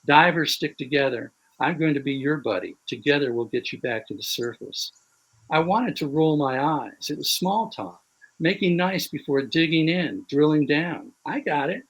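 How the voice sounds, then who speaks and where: A man reads his own prose aloud at a measured, dry pace. His voice sits a touch high for a man. It has the sound of a video call. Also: fairly bright tone, husky, muffled recording. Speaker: author reading his fiction. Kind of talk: prose reading.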